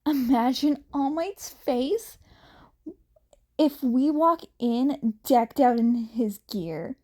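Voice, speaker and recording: A bubbly teenage girl, voiced by a woman in an audiobook story. She is high-pitched and animated, friendly and full of cheer. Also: drawn-out words, exaggerated voice. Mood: cheerful. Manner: calling out, cheering, enthusiastic. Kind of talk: fan fiction audiobook character voice.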